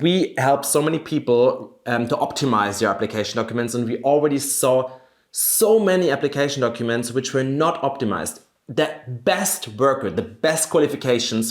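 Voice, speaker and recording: A man's voice: medium pitch, reverberant. A man from Germany with a German accent, a native German speaker, explaining things step by step as a career coach in an emphatic, instructive way. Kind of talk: explanatory video guide to camera.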